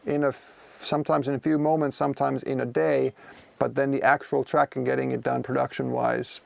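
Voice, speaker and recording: Finnish-accented man, non-native English, relaxed livestream chat, rough fry-heavy voice, dead dry room. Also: mid-range voice, brisk, no echo. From Finland. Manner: rambling, conversational, many fillers and restarts. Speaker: music producer streaming from his studio. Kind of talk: casual livestream chat, answering viewers. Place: home music studio.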